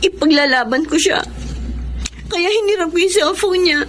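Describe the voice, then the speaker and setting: A woman's voice: very high voice, lively intonation, moderate pace, fairly bright tone, a little hiss or hum. An actress playing a lovesick, stubborn young woman in a plaintive, emotional Tagalog radio drama style. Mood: determined. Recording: music behind.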